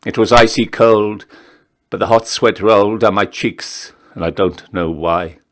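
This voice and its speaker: A man reciting a narrative ballad in a measured, theatrical storytelling style with deliberate pauses. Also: on the low side, a bit bright. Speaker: poetry reader, town crier.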